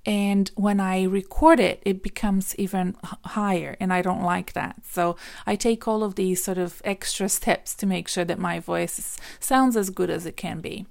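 Career coach woman with gravelly edge. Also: steady tone, normal speed, hardly any pauses, darkish tone. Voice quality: says she has a squeaky voice. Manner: practical, direct, friendly advice with fillers. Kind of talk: advice monologue.

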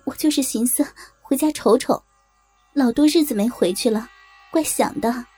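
A woman's voice: sits high, moderate pace, dark tone, husky, soft background music. A woman playing a frightened, naive young rural wife with a Northeastern Chinese accent, hesitant and pleading, in an audio drama.

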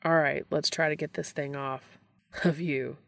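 Gruff male hunter acted by a woman, low, gravelly, blunt, exasperated, audio storybook. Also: fairly even intonation, unhurried, dry recording. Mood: determined. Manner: blunt, sweary, casual.

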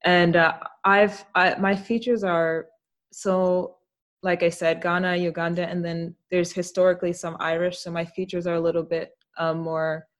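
Young woman host, kinda low voice, reflective and easygoing, laughs a lot. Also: little pitch movement. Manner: reflective, thoughtful, quick to laugh. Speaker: podcast host. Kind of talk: casual conversational interview.

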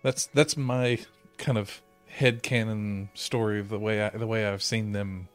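A man playing a tabletop game, casually explaining things in a halting way as he searches for words. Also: on the low side, steady tone, clean tone, faint music behind. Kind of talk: casual chat, explaining character lore.

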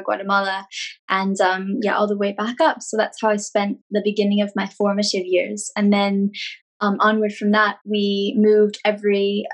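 Female guest, 30s, from California, relaxed and talkative. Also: medium pitch. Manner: chatty, rambling with many fillers and laughs. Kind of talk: casual interview chat.

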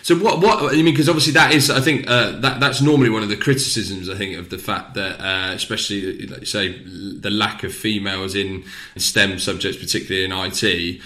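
A man's voice: lowish voice, fairly even intonation, a little fast, bright, forceful tone. A man hosting a casual podcast interview, asking rambling questions with hesitations and repeated words.

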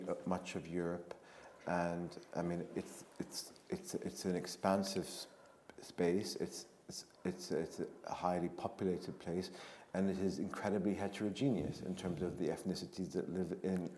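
A man gives a considered, halting contribution to a panel discussion. His voice is deep and flat in pitch, and the room around him is audibly echoey. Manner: halting, deliberate, with repeated words. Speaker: panel speaker. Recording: echoey room with faint noise.

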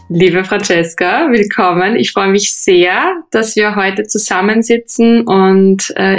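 A woman's voice: medium pitch, no echo, studio quality. A woman hosting a podcast, introducing her guest and asking interview questions. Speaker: podcast host.

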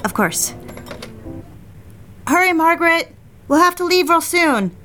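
A woman's voice: extremely high pitch, animated, a little slow, pushed and bright, soft background music, full character voice. An actress playing a genteel, eager young society woman in an audio drama, speaking and narrating with proper, polite diction. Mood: urging. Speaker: lead character and narrator. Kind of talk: audio drama acting with first-person narration.

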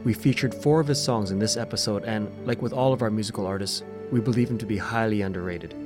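A man with a lowish voice delivers a scripted podcast outro as host. A song plays clearly behind him throughout. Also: fast, over music. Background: song playing under the voice.

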